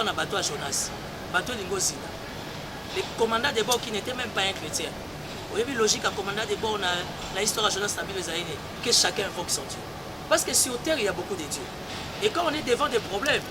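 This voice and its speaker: A man with a Congolese French accent, a church spokesperson arguing a political point in an emphatic debate, repeating key phrases and mixing in Lingala. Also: high-pitched. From Congo-Kinshasa. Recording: loud street traffic noise.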